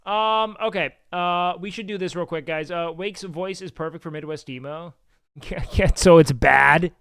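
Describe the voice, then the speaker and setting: A man with a nasal voice chatting casually on his live stream, loud and excitable, swearing often. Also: high-pitched, normal speed, clean tone, no echo, clean studio sound. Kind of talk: casual live-stream chat reacting to clips. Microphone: studio mic with noise gate.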